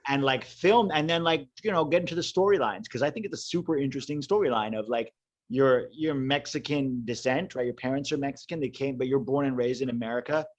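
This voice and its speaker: A man co-hosting a casual podcast, talking in a relaxed way with drawn-out stresses and frequent fillers. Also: mid-range voice, muffled recording. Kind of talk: casual chat.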